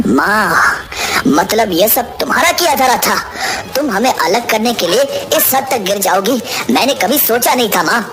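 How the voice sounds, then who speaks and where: A woman voices a skeptical grown man from an animated tale, dismissive and quick to take offense. The pitch moves a lot and sits a bit high. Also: natural voice. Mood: indignant. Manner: skeptical, then indignant. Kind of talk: animated storybook voice acting.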